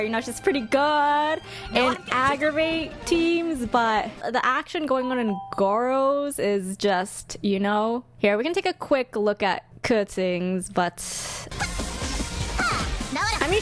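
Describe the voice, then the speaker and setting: Animated, high-pitched woman streamer. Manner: giggly, expressive, exclaiming. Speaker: livestream host reacting to game trailers. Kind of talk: casual live stream commentary. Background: music from game trailers.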